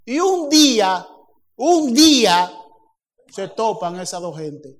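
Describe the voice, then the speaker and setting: Male preacher, high pitch, animated sermon delivery with acted-out dialogue. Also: slow pace. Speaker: pastor.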